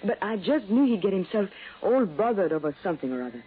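A woman's voice: medium pitch, very animated, fast, close to an everyday voice. Young wife, played by a woman: American, teasing, self-possessed, lilting widely, muffled vintage radio recording. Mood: fondly resigned. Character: poised, teasing young wife, sweetly patronising. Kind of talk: 1960s radio drama acting.

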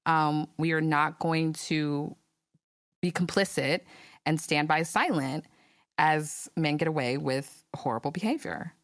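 A woman's voice: deep voice, a little slow, no echo, clean studio sound. A woman hosting a podcast, chatting casually with slang and sass, reading ads and giving her opinion. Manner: sassy, animated commentary with slang. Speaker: podcast host. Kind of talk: casual podcast talk with ad reads.

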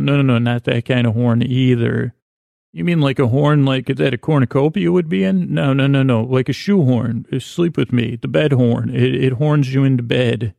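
A man with a creaky voice hosting a sleep podcast, mumbling and stumbling through a meandering, gently absurd bedtime monologue. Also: medium pitch, no room sound, studio quality. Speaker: podcast host. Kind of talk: rambling bedtime monologue to lull listeners to sleep.

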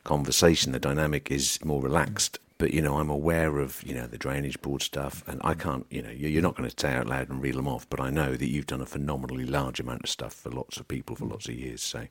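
A man's voice: very deep voice, steady tone, fast, few pauses, breathy edge, no room sound. A man hosting a podcast interview, chatty and teasing with his guest. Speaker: podcast host. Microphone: podcast microphone.

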